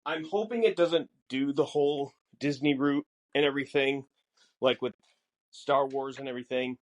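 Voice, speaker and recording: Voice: mid-range voice, normal speed. A podcast co-host in casual fan discussion, musing and posing questions aloud.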